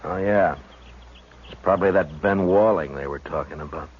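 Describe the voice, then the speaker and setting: An actor playing a stern US Marshal of the Old West in a 1950s radio western, with an American accent and terse, measured delivery. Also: male voice, low voice, slightly rough. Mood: dry, easy. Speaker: lead actor, also narrates the story. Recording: muffled, noisy 1950s radio recording.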